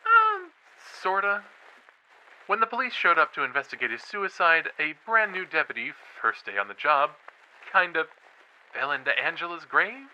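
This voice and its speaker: Eager male college podcaster, audio drama; very bright, forceful voice, theatrical storyteller. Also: a little high, huge pitch swings, moderate pace, muffled recording, slight background noise, heavily acted. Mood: animated, conversational. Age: young adult. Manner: dramatic, playful. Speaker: college true crime podcaster.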